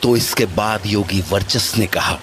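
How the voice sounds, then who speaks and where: A man's voice: fairly low pitch, a little slow, a bit bright, a little hiss or hum. A man playing a solemn documentary narrator, telling an ancient legend in a formal, dramatic style. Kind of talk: scripted mythological narration.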